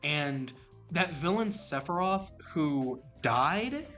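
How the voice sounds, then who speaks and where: A man co-hosting a casual gaming podcast, talking in an animated, joking way. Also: a little high, slow, soft background music. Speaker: podcast co-host.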